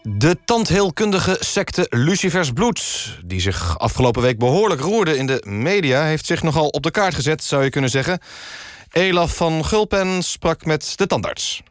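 A man reading scripted mock news as a radio presenter, with a deadpan delivery. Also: a little high, moderate pace. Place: dry radio studio.

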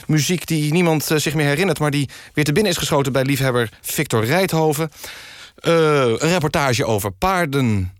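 A man hosting a satirical radio magazine in a deadpan, mock-serious way. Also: fairly high pitch, normal speed, dry recording, studio quality. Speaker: radio host. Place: dry radio studio.

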